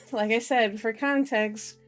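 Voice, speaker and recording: A woman reading a novel aloud in an ASMR style, with informal, giggly asides, as a streamer. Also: medium pitch. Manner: giggly, self-interrupting, informal.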